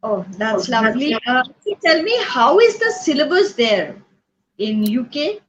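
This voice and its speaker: A woman's voice: high voice, big pitch swings, a little slow, a bit bright, slight background noise, rough recording. A woman hosting an interview, asking long rambling questions with fillers. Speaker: interview host.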